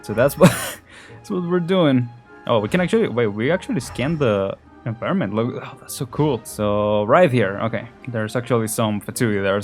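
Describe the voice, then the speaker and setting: A chatty man gives casual let's play commentary in a mid-range voice. His pitch moves around a lot and he chuckles easily. Faint game music plays behind him. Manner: chatty, often chuckling between remarks. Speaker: gaming video host.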